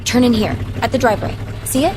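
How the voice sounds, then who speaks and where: Woman acting high-voiced eager young wife. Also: lively intonation, fast, close to an everyday voice. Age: young adult. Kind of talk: naturalistic radio drama acting.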